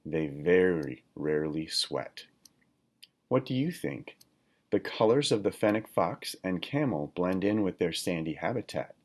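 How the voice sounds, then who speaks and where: A man reading a children's nonfiction book aloud in a deliberate, instructional manner, like a teacher. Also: deep voice, lively intonation. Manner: deliberate, instructional, poses questions to listeners. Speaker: read-aloud reader, teacher.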